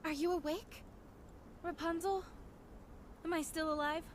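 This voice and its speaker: Anime game fighter, female: teasing, wry, battle-worn, high-pitched, slight noise behind. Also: fairly even intonation, unhurried. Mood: groggy, questioning. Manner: flippant, wry. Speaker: video game voice actor. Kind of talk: anime-style game dub acting.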